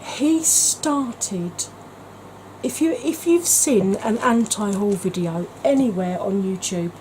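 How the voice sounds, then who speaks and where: Mellow woman chatting warmly. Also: medium pitch, slow, slight background noise, poor audio. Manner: chatty and gushing, with frequent ums. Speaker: beauty vlogger. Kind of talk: casual vlog chat.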